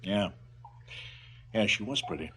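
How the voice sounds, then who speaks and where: An actor playing a cynical, brooding crime boss in a stylised radio drama, sardonic and businesslike about killing. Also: male voice, fairly low pitch, expressive, measured pace, a bit bright, slight background noise. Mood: cold, wistful menace.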